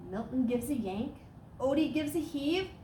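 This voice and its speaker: A woman reading a children's storybook aloud as a storyteller, with expressive, dramatic emphasis. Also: high voice, moderate pace. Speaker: staff storyteller. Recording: roomy echo, clear background noise.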